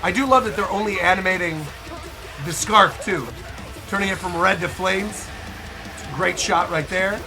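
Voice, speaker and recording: A woman hosting casual, animated reaction commentary on game trailers. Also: fairly low pitch, normal speed, music in the background, rough recording.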